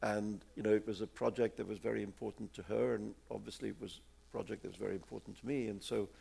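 Gravelly, husky older man. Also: fairly low pitch, fairly even intonation, fast talker, dark tone. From India. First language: Urdu. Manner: rambling with many fillers and repeats. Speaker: author answering audience questions. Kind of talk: live audience Q&A.

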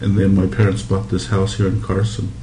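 A man's voice: sits low, completely flat delivery, a little fast, dark tone. A middle-aged man from Hawaii being interviewed, reminiscing in casual conversation. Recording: echoey room with background noise.

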